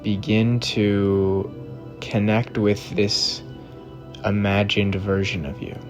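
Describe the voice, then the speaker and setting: A man narrating a guided meditation in a measured, deliberate way, in short phrases separated by long pauses. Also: lowish voice, flat delivery. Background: background music.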